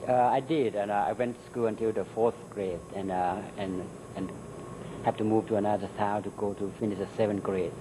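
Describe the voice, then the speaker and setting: A middle-aged man with a Thai accent, Thai his first language, answering interview questions in halting English with many fillers. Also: lowish voice, fairly even intonation, background noise. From Thailand. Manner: halting, many "uh" and "you know" fillers, laughs between answers. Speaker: interview guest. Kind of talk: recorded interview.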